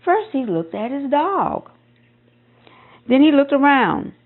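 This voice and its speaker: A woman reading a children's picture book aloud in an expressive storytelling style, with emphasis on repeated phrases. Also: high voice, slow, phone-quality sound. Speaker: storybook reader.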